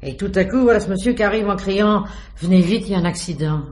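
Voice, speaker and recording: A woman's voice: mid-range voice, fast, a little mellow, lightly acted. A woman playing a flustered, garrulous building concierge in a radio crime drama, full of exclamations and hesitations.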